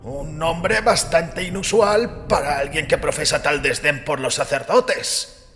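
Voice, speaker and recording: A man voicing an elderly, gentle, good-humored priest with courteous, formal old-fashioned speech in an audio drama. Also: on the high side, slow, big-room sound, soft background music, pitched above the performer's own voice. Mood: politely curious. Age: older. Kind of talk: audiobook character acting.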